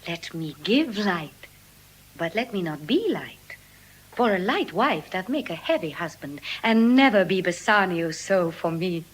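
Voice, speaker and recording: Witty noble lady, classical verse drama, articulate, theatrical, animated intonation. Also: on the low side, measured pace, husky, poor audio. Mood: playful. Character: Portia, witty gracious noble lady of the house. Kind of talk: classical Shakespearean stage acting.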